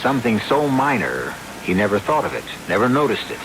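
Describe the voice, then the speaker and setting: An actor playing a suave, ominous mystery host, narrating old-time radio with deliberate, theatrical pauses. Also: male voice, mid-range voice, big pitch swings, noisy background, natural voice. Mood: ominous, knowing.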